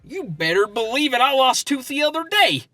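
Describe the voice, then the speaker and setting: A man playing an excitable, gullible country skeptic with a rural American accent, folksy and halting in comic banter. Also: very high-pitched, no echo, soft background music, exaggerated voice. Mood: skeptical. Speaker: comedy podcast co-host.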